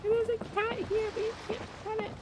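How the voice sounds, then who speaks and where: A woman's voice: very high-pitched, flat delivery, normal speed, background noise. A woman livestreaming a game, chatting casually in a playful, rambling way. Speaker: VTuber game streamer. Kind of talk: casual livestream chat while gaming. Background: loud game music.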